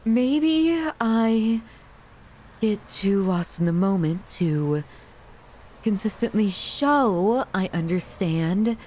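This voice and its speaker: A woman's voice: animated, darkish tone. A woman playing a sadistic, possessive young yandere on the run in an intimate audio roleplay, speaking theatrically with menacing sweetness. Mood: subdued, apologetic. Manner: theatrical, teasing, drawn-out phrasing with menacing sweetness. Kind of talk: intimate ASMR audio roleplay, addressing the listener. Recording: rumbling low background noise.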